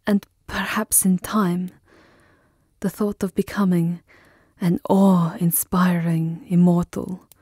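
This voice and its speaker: Lady playing a tender vampire countess, super slow n breathy, pleading, asmr roleplay, close dry sound. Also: lowish voice, little pitch movement, a little mellow, dry recording. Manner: breathy, intimate, pleading, drawn-out phrasing. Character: lovesick immortal vampire countess, tender and longing.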